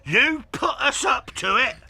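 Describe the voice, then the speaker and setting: An actor playing a greedy, always hungry farmer who grumbles and whines in a dramatized children's audiobook. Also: male voice, very high voice, expressive, measured pace, creaky, pushed and bright, husky, heavily acted. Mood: grumbling, blaming. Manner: whining, complaining.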